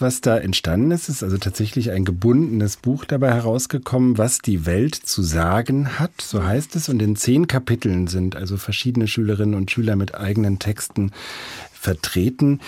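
Husky, low-voiced male interviewer. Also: a little mellow, no echo. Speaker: radio interviewer and presenter. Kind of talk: conversational interviewing and presenting.

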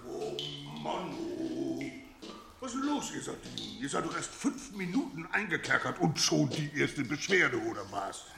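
Gravelly, grumpy pirate jailer. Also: male voice, a little high, big pitch swings, normal speed, heavily acted. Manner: groaning, exclamatory, easily baffled. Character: grumpy, bewildered, gullible pirate dungeon keeper. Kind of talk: children's radio play acting. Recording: echoey dungeon ambience with faint music.

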